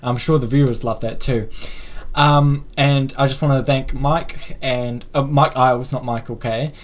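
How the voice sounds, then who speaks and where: A man hosting a livestream, chatty, with a slightly croaky voice. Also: mid-range voice, fairly even intonation, a little slow. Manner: chatty, filler-heavy wrap-up. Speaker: livestream host. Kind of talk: casual livestream chat.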